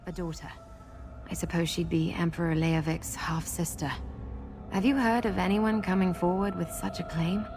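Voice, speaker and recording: An actress playing an earnest young woman in a video game who seeks her lost memories, speaking in a measured, sincere way. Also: fairly low pitch, fairly even intonation, a little mellow, faint music behind, natural voice. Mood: thoughtful. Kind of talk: video game character acting.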